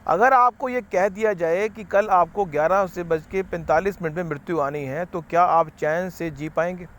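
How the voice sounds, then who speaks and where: A man's voice: sits high, normal speed, a bit bright. A man giving an unscripted spiritual talk, rambling and repeating himself, slipping English words into his sentences. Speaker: spiritual speaker.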